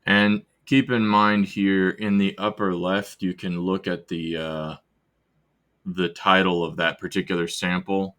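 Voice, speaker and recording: A man's voice: deep voice, level tone, unhurried, a bit bright. A man hosting a casual screen-recorded walkthrough, talking loosely and rambling with restarts as he explains his steps. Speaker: video host demonstrating software.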